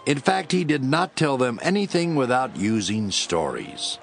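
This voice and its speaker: A man narrating scripture from a script in a measured storytelling manner. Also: medium pitch, big pitch swings, faint music behind.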